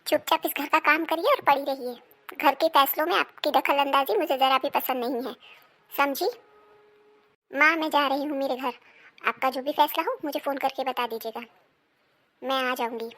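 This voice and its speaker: A woman acting an emphatic, dramatic role in a Hindi animated family melodrama. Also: high-pitched, moderate pace, pauses often. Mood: indignant, demanding. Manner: emphatic, dramatic, scolding and pleading delivery. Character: women of a quarrelling Indian family: daughter, mother, daughter-in-law.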